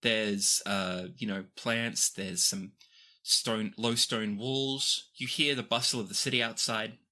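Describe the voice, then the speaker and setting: A game master narrating a tabletop roleplaying game off the cuff, describing scenes expressively with pauses. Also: mid-range voice, steady tone, pushed and bright.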